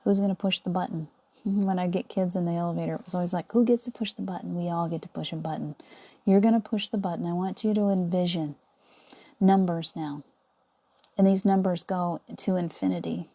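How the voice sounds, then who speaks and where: A middle-aged woman leading a guided visualization meditation in a soothing, coaxing way, often dropping to a soft whisper. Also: fairly low pitch, steady tone, brisk.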